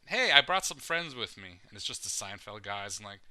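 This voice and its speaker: A man with a low, forceful, crisp voice hosts a casual podcast. He rambles through heavy fillers and restarts and curses freely. The sound is bright and smooth throughout. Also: very animated, a little fast, dry recording. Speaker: podcast host.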